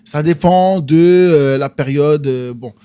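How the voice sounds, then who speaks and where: A man's voice: on the high side, slow pace, mellow, smooth. A man from France, a stand-up comedian, chatting casually as a podcast guest. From France, born in Marseille, raised in Nantes and the Paris suburbs. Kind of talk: casual chat.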